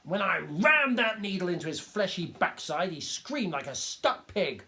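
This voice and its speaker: A man voicing an excitable little village doctor who talks in a high, loud, indignant rush and trips over his words. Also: a little slow, full character voice. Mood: gleeful storytelling. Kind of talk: audiobook character voice.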